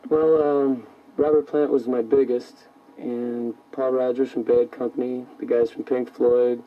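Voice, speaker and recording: A man's voice: mid-range voice, little pitch movement, a little slow. An American man, a rock singer, giving brief, plain answers in a casual radio interview on a lo-fi tape. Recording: distant, dull lo-fi radio aircheck tape.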